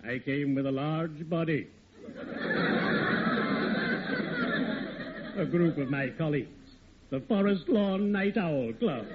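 An actor playing a genteel, morbidly cheerful undertaker with a pompous, florid delivery in old radio comedy. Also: male voice, on the high side, narrow, tinny audio, natural voice. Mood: morbidly gleeful. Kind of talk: 1940s American radio comedy acting. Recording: scratchy, very noisy old radio recording.

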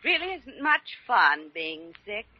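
A female hospital nurse coaxes in a husky, lilting voice with wide pitch movement. She is soothing yet brisk, in vintage radio drama style, on a dull old recording. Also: big pitch swings. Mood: soothing, coaxing. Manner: coaxing, placating. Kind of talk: 1940s-50s radio drama acting.

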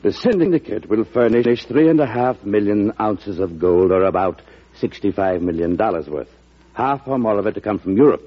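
Assured male banker, formal and firm, husky, precise delivery, dull vintage radio recording. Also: lowish voice, expressive, normal speed. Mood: grave, firm. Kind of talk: old-time radio drama acting.

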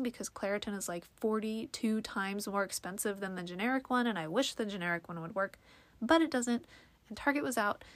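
A woman's voice: mid-range voice, a bit bright, no echo. A young woman hosting her own podcast, talking alone in a rambling way with lots of fillers, a little sniffly from allergies. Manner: rambling, many fillers and restarts. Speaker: podcast host. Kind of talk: casual solo podcast monologue.

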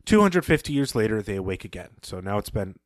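A man co-hosting a podcast, casually discussing a story and recapping its plot in a thoughtful, measured way, with soft laughs. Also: fairly low pitch, very animated, no room sound. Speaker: podcast co-host.

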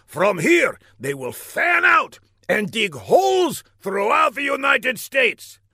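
High, raspy, theatrical supervillain. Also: male voice, big pitch swings, takes it slowly, sharp tone, no echo, studio quality, exaggerated voice. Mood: grandiose. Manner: theatrical, emphatic, exclaiming grandly. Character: megalomaniac male villain, gleeful, exasperated mastermind. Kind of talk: comic audiobook character voice.

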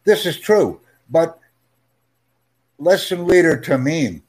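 Male tutor, slow and clear, a bit high, friendly and insistent. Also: expressive. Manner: speaks slowly and clearly, friendly, emphatic repetition. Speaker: English tutor. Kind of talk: slow, clear teaching talk.

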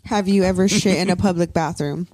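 A man's voice: high-pitched, fairly even intonation, brisk, a little mellow. A man in a casual podcast chat, throwing in blunt, crude jabs.

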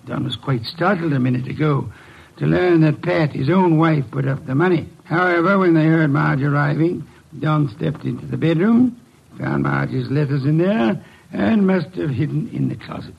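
An actor playing a commanding, fussy older genius detective with formal, precise diction, in old-time radio mystery style. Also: male voice, fairly high pitch, normal speed, gravelly, husky, heavily acted. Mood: grave, declarative. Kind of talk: 1940s-50s radio mystery acting. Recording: dull old radio recording.

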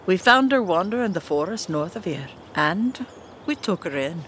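An actress playing a stern, composed queen and mother, formal, measured and commanding, in a fantasy audio drama. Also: fairly low pitch, animated, a bit bright, a little hiss or hum. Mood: stern concern.

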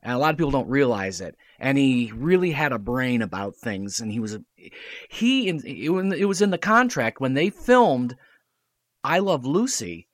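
A man's voice: medium pitch, big pitch swings, normal speed, no echo. A man as a podcast guest, explaining technical things emphatically in a casual chat.

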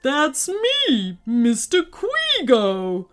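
A woman's voice: high-pitched, wildly swooping pitch, very slow, pitched above the performer's own voice, exaggerated voice. A woman voicing a giant red male alien villain in a children's story, blustering, weepy and melodramatic, with music behind. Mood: tearful, exhausted. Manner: wailing, bellowing, melodramatic.

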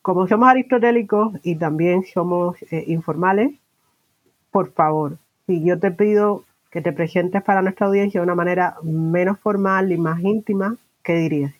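A woman's voice: lowish voice, moderate pace, lo-fi sound. A woman hosting an informal bilingual podcast interview, mixing English words into her Spanish. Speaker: podcast host interviewing a guest.

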